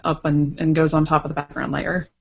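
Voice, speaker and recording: A woman's voice: sits low, level tone, fairly quick, vocal fry, muffled recording. A woman explaining her work casually as an interview guest, in hesitant, stop-and-start phrasing. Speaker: guest, visual novel creator.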